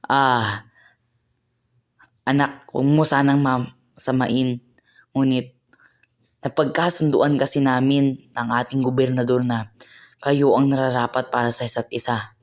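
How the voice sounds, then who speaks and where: A woman's voice: rumbling low, fairly even intonation, unhurried, long pauses. A woman playing a stern older father who speaks firmly and gives orders, in an amateur radio play. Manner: firm, commanding.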